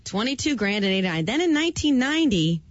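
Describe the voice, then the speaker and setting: A woman's voice: a little high, very animated, fast talker, no room sound. A woman co-hosting a podcast, reading out figures in a playful, teasing way and laughing often. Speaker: podcast co-host. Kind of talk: casual podcast chat. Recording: dull podcast audio with faint music.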